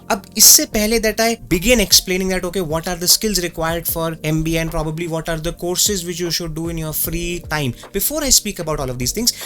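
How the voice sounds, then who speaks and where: Fast-talking Indian man with a slight stammer. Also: high-pitched, fairly bright tone. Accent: Indian English. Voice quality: mild stammer. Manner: earnest, mixes English with Hindi. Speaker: YouTube host and career coach. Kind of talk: direct-to-camera advice talk.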